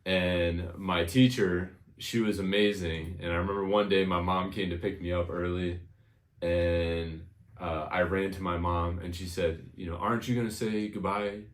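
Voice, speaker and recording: A man's voice: low voice, steady tone, moderate pace, echoey room. A man in his thirties from Connecticut with an American accent, casually telling personal stories in an informal, reminiscing way as an interview guest. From Connecticut, United States. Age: 30s. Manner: informal, reminiscing, frequent "you know".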